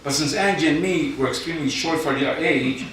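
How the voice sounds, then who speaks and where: A middle-aged man reading his poetry live, with informal asides and a wry, deadpan storytelling manner. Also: fairly high pitch, brisk. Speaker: poet. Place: live event in a reverberant room, audience present. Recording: very echoey hall, distant, noisy.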